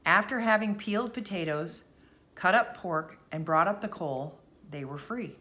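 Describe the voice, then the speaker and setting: A woman reading a bedtime story aloud as narrator, expressive and deliberate. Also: lowish voice.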